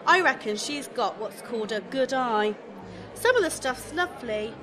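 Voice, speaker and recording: A woman's voice: on the high side, lively intonation, moderate pace, music in the background, crowd noise, close to an everyday voice. A woman acting in a radio play comedy as a chatty, aggrieved young shop assistant, colloquial, gossipy and blunt. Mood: chatty, defensive.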